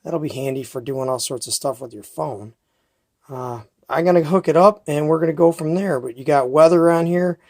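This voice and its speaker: A man's voice: fairly high pitch, moderate pace, gravelly, a little mellow. A man hosting a casual radio review, talking in an enthusiastic, folksy, rambling way while filming at home on a handheld camera mic. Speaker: host of a CB radio review channel. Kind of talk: casual product review and unboxing.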